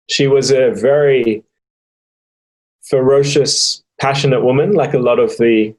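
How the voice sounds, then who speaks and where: A young man with an Australian accent, speaking slowly and reflectively with many pauses, like an interviewee telling his family's story. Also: medium pitch, a little mellow, rough recording. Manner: slow and thoughtful, with frequent pauses and fillers. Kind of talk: reflective personal testimony.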